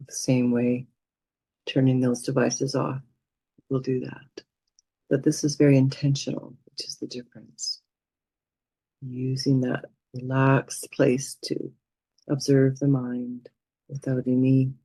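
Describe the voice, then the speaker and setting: A woman leading a guided meditation as a teacher, speaking in a gentle, soothing manner with unhurried pauses. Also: very deep voice, fairly even intonation, husky, no room sound, poor audio.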